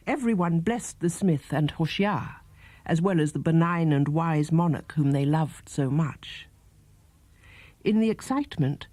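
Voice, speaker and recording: A man's voice: on the high side, mellow, no echo. A man giving a scripted storybook narration as an audiobook reader, close to the microphone.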